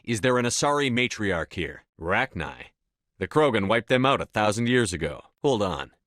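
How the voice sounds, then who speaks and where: A low-voiced, commanding military officer. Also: male voice, sing-song, normal speed, a bit bright, no echo, clean studio sound. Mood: controlled, businesslike. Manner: clipped, authoritative military delivery. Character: steadfast male space-marine commander, tough and decisive. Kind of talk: video game dialogue lines.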